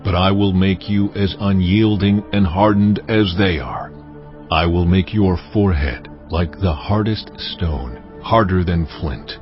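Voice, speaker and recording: An actor playing God as a stern, commanding deity, speaking with solemn weight and deliberation in a dramatized scripture recording. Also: male voice, low-pitched, unhurried, dull audio, faint music behind.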